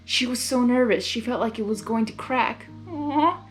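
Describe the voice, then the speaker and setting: A woman's voice: on the high side, fairly even intonation, moderate pace, faint music behind. A woman reading fan fiction aloud informally, unrehearsed, with stumbles and amused asides. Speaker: fan fiction reader and channel host. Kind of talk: informal storytelling read-aloud.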